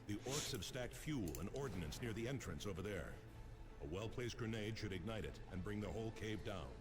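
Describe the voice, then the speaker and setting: An actor playing an earnest space marine sergeant who reports formally and urgently in a sci-fi game. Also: male voice, fairly low pitch, lively intonation, a little fast, music in the background, lightly acted. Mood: focused. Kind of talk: sci-fi game military dialogue.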